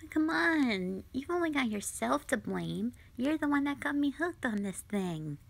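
A young woman playing a sulky, dramatic tsundere gamer girlfriend in an audio roleplay, with a whiny, pouty, drawn-out delivery. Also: high-pitched, sing-song, vocal fry, exaggerated voice. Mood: whiny protest. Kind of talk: roleplay audio drama, intimate one-sided dialogue.

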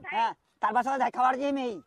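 Woman, high voice, quick pace, scolding and abusive, heated phone-call argument, repetitive. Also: fairly even intonation.

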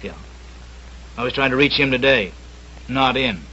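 An actor playing a brisk, matter-of-fact businessman in television drama dialogue. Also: mid-range voice, slight background noise. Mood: businesslike. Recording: dull TV clip with loud music.

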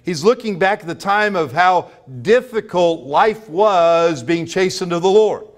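A man's voice: sits high, lively intonation, a little slow. A middle-aged American man preaching a church sermon, emphatic and repetitive, asking rhetorical questions. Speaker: pastor preaching. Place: church sanctuary, at a pulpit before a congregation.

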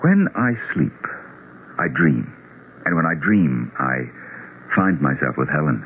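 Low, swooping, earnest psychiatrist. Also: male voice, very animated, exaggerated voice. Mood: earnest, confiding. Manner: deliberate, halting, intense explaining. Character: grieving psychiatrist, earnest, obsessed with his theory. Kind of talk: 1970s radio drama acting. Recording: tinny, noisy old radio broadcast.

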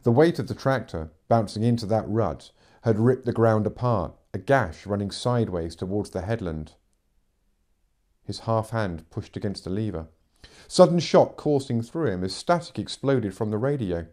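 Middle aged guy reading a novel extract, lowish voice, very soft dark tone, dramatic audiobook style. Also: animated, moderate pace. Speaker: narrator reading fiction extracts. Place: living room, seated in armchair.

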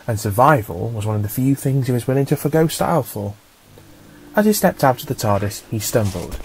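A man's voice: medium pitch, a little mellow, slight background noise, low-quality recording. Male audiobook narrator, dramatic storytelling.

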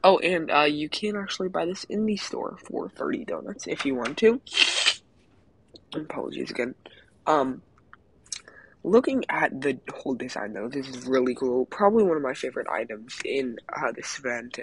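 A young man with a slightly raspy voice talks through a mobile game in an easygoing, halting way. He fills pauses with ums and sounds keen on what he is showing. A faint hiss sits under the recording. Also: fairly high pitch, poor audio. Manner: hesitant, many ums, excited about items. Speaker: mobile game channel host. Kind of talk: casual gameplay commentary.

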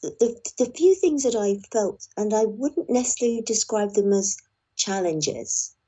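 A woman's voice: medium pitch, expressive, measured pace, dark tone, slightly rough. A woman speaking thoughtfully and hesitantly as an audiobook narrator being interviewed. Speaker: audiobook narrator, podcast guest. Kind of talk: reflective interview talk.

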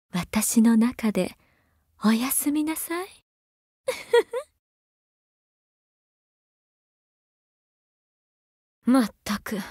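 High-voiced, doting, seductive mother figure. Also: a little mellow, no room sound, clean studio sound, exaggerated voice. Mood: teasing. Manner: coaxing, breathy-whispering at times, archaic feminine phrasing. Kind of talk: Japanese mobile game character voice lines.

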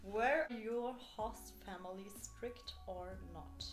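A young woman, the host's roommate, reading out questions in a plain, unhurried way. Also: mid-range voice, faint music behind.

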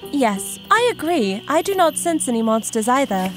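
A solemn huntress of great age, acted by a woman, speaks in formal, old-fashioned English. Her voice is fairly high and measured, over background music in an audio drama. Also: very animated. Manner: formal, measured, archaic phrasing.

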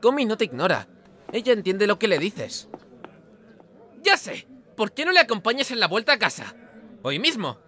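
A man's voice: high-pitched, wildly swooping pitch, normal speed, pauses often, sharp tone, slight background noise, exaggerated voice. A man with an accent from Spain playing an earnest, easily flustered teenage schoolboy in an anime fan dub. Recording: music bed under voice.

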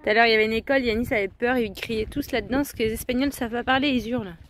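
A woman's voice: on the high side, racing, slight background noise. A woman in her thirties chatting cheerfully as she films a camper van trip, outdoors and inside the van, on a handheld action camera. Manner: chatty, cheerful running commentary. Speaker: vlogger. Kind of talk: casual travel vlog narration. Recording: music playing underneath.

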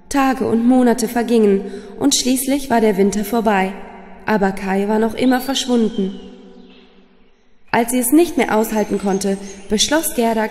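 A woman's voice: mid-range voice, dark tone. A woman storyteller reading a scripted fairy tale for children. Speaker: storyteller, narrator. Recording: long reverb, music underneath. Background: music.

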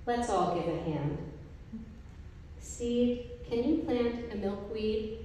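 A middle-aged woman recites a poem at a gallery gathering, taking each line slowly and evenly. Her mellow voice rings through an echoey room with a long reverb tail. The mic picks her up from some distance. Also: on the high side, a little hiss or hum. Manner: slow, measured recitation from paper pages. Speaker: poet reading a group poem. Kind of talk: poetry reading at a gallery event. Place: art gallery, indoors, mid-sized room. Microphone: handheld mic, filmed from a distance.

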